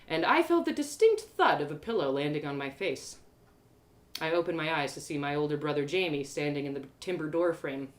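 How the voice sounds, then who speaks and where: A woman storyteller narrating a first-person fantasy tale expressively. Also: deep voice. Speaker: storyteller, host.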